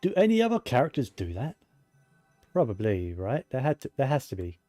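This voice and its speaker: A man's voice: mid-range voice, wildly swooping pitch, fairly quick, dark tone, dry recording, faint music behind. A man giving casual video game commentary, musing and thinking aloud to himself as he plays.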